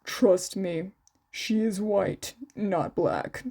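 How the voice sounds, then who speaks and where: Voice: mid-range voice, extremely slow, mellow, breathy edge, voice pushed down, heavily acted. A woman voicing a tired, laconic grown man who sounds dry and unbothered, in a story reading. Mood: dry, weary.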